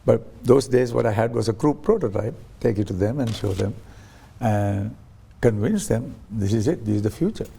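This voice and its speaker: A man's voice: lowish voice, animated, moderate pace, gravelly, dark tone, breathy edge. A man interviewed as a guest on a talk show, explaining his ideas through stories and acting out quoted voices, with frequent restarts. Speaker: guest, science ambassador.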